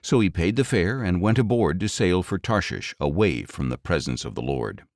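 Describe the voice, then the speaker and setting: A man reading scripture as an audiobook narrator in a measured, solemn, dramatic storytelling style. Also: sits low, expressive, a little mellow, no echo, clean studio sound.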